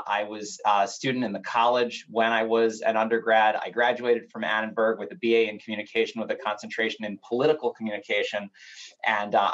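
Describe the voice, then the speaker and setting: A man's voice: lowish voice, a bit bright. A man in his forties introducing himself conversationally as a professional panelist on a video call. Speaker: panelist, media executive. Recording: slightly dull video-call audio.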